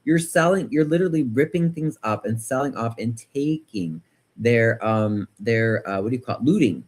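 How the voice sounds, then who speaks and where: An American man hosting a casual livestream, chatting and commenting in an animated, opinionated, rambling way, often repeating words for emphasis. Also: medium pitch, normal speed. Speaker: livestream host. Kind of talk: casual livestream chat and political commentary, reading viewer comments.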